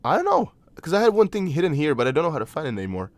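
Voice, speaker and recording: A young man whose first language is Dutch, speaking English with a Dutch accent. He hosts a casual crypto livestream, chatting loosely, reading chat aloud and thinking out loud with lots of fillers. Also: a little high, huge pitch swings, racing, dry recording. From Netherlands. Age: young adult. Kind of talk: casual livestream chart analysis.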